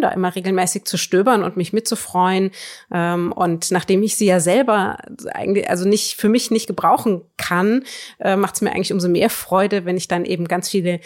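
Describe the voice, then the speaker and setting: A woman co-hosting a book podcast in casual, spontaneous talk, wordy and repeating words for emphasis. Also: on the low side.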